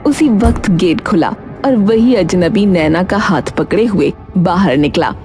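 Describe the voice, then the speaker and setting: A woman's voice: fairly low pitch, lively intonation, a little slow, warm tone, soft background music. A woman narrating a scripted children's story in a dramatic storytelling style.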